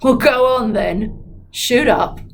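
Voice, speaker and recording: Hard-edged male spy read by a woman, deliberate, forceful, taunting interrogator in a thriller story. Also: fairly high pitch, expressive, slight background noise, pitched above the performer's own voice. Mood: furious, taunting. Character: tough, menacing male British spy interrogating a suspect. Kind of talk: dramatic novel reading.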